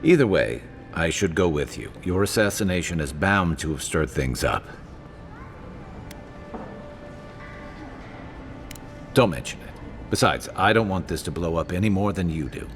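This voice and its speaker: A man playing a gruff, wry and loyal travelling companion in a fantasy video game, talking in a conversational, dryly humorous way. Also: low voice, lively intonation, a little fast, faint music behind. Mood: wry, protective.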